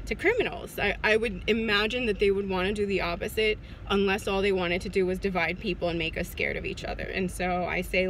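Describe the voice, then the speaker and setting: A woman's voice: medium pitch, a bit bright, slight background noise, poor audio. A woman in her forties talking to her phone camera from a parked car, casual and hesitant with many fillers and restarts. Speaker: vlogger. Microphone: phone at arm's length.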